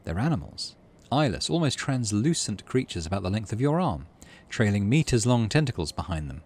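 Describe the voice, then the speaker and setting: A man's voice: on the low side, sing-song, a little fast, darkish tone, husky. A middle-aged man hosting a let's play, reading game text expressively and making casual muttered asides to himself. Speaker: gaming channel host.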